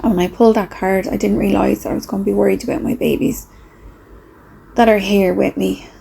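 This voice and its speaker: A woman speaking softly and reflectively with pauses, in a breathy, husky voice, as she leads a gentle guided meditation. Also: medium pitch, steady tone, slight background noise. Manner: slow, soft, reflective, with pauses.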